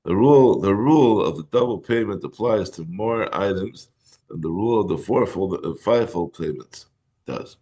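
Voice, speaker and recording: A hoarse middle-aged man teaching a Talmud class in a halting way, often clearing his throat. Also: on the low side, lively intonation, dark tone, low-quality recording. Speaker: Torah teacher.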